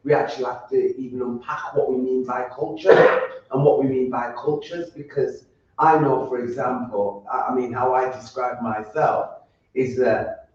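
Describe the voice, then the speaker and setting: A woman's voice: very deep voice, fairly even intonation, moderate pace, darkish tone. A woman with a broad Yorkshire accent speaking earnestly on a roundtable panel, often stopping and restarting her words. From Yorkshire, England. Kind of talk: roundtable discussion. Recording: echoey, distant, dull sound.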